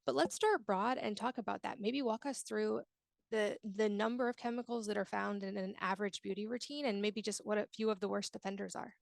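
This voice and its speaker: Woman podcast host with creaky voice. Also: medium pitch, steady tone, fairly quick, no echo, studio quality. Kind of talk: conversational interview.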